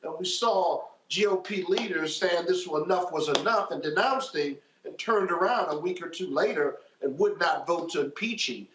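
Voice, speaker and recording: High-pitched man commentating, echoey dull audio. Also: moderate pace, few pauses, background noise. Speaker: political commentator on a panel. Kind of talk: political commentary.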